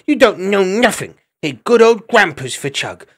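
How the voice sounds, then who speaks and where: A pint-sized squirrel boy who bosses everyone about in broken childish speech, as a man plays him. The voice sits very high and squeaky, lively and bouncing in this storybook reading. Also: normal speed, vocal fry, exaggerated voice. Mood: bossy. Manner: broken childish grammar, bossy orders. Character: bossy little male squirrel child cook.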